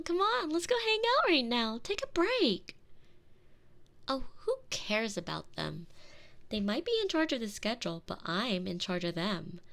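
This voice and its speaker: A woman's voice: a little high, creaky, full character voice. A woman playing a doting, possessive young noblewoman in a scripted roleplay, spoiled and insistent, speaking in a gushing, coaxing way straight to the listener. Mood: insistent, eager. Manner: gushing, coaxing, expressive and intimate.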